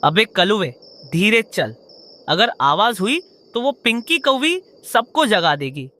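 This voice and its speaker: A woman's voice: medium pitch, sing-song, a little slow, pushed and bright. A woman voicing a jealous, scheming male crow who bosses his sidekick around. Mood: hushed bossy. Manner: bossy, gruff scolding.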